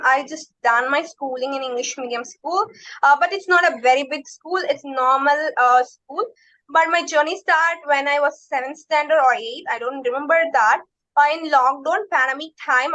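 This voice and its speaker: A woman's voice: high voice, sharp tone, poor audio. A young woman with a Tamil accent, a Tamil native speaker, answering interview questions politely and hesitantly, often saying ma'am. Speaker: English learner and YouTuber, interviewee. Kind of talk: conversational interview.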